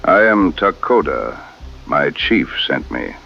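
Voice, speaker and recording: Stoic frontier tracker, super low gravelly voice, talks formal, radio play. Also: male voice, sing-song, muffled recording, faint music behind, exaggerated voice. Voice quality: gravelly, very deep. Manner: formal, measured speech without contractions. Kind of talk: radio drama acting.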